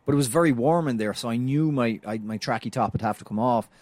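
A man's voice: medium pitch, fast, darkish tone, dry recording. A man co-hosting a soccer podcast with a non-American English accent, telling stories in a casual, chatty way with frequent fillers and restarts. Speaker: podcast co-host.

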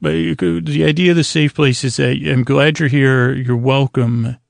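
A man hosting a bedtime podcast, rambling in a slow, languid, gentle and reassuring way with long, meandering pauses. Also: medium pitch, fairly even intonation, creaky, dry recording. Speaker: podcast host.

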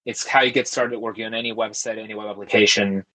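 A young man from Argentina, a guest talking casually in an interview, relaxed and talkative with frequent fillers and restarts. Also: fairly low pitch, quick pace, poor audio. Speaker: guest, tech founder.

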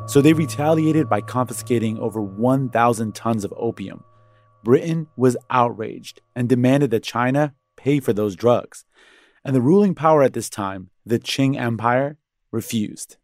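A man narrates a scripted history explainer in a measured, storytelling manner. Music plays clearly behind his voice. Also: medium pitch, darkish tone, no room sound.

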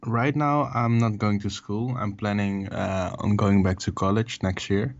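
A man in his twenties from the Netherlands with a Dutch accent, speaking English as a second language in a relaxed, halting way full of fillers. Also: lowish voice, vocal fry, highs cut off. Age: 20s. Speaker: musician guest. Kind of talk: casual interview over a call. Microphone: call audio.

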